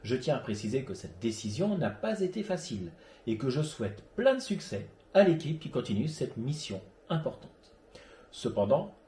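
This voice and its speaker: A man's voice: medium pitch, dark tone, reverberant. A man hosting an amateur radio web show, presenting from a prepared script. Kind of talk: scripted presentation, read narration.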